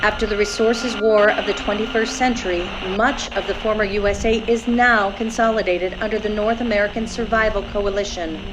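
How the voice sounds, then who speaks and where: A composed sci-fi newsreader played by a woman reads a formal bulletin in a radio drama. The voice is mid-pitched, with clear noise behind it. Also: fairly even intonation, lightly acted. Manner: formal, measured announcing. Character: female futuristic newsreader for Martian colonies, composed, formal. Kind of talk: scripted news bulletin in a radio play. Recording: noisy radio broadcast sound.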